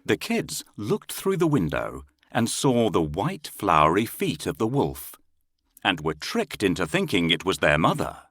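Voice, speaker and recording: A man's voice: deep voice, huge pitch swings, measured pace, fairly bright tone, no echo, studio quality. A man narrating a children's fairy tale as a scripted storyteller.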